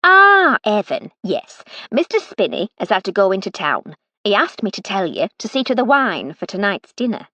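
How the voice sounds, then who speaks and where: A woman voicing a cheery, motherly older housekeeper-cook who is chatty and warmly bossy. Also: medium pitch, sing-song, normal speed, few pauses, dry recording.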